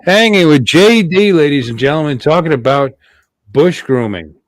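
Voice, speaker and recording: A man's voice: fairly high pitch, lively intonation, normal speed, dry recording. A middle-aged man hosting a casual livestream chat in a playful, rambling, joking way. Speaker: livestream host.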